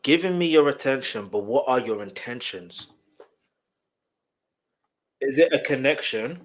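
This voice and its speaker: Excitable young man. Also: mid-range voice, measured pace. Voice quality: nasal. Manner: excitable, chatty, keeps stopping the track to explain. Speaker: music reaction host. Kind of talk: casual live reaction commentary.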